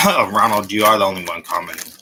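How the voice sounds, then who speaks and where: A man's voice: lowish voice, animated, brisk, background noise. A man chatting casually on a livestream, rambling between topics and answering viewer comments in a blunt, opinionated way. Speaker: livestream host.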